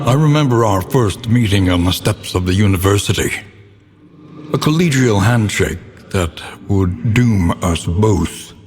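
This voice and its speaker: An actor voicing a grim, learned older narrator of a gothic video game, speaking in an ominous, solemn, theatrical way. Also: male voice, on the low side. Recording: long reverb with faint background noise.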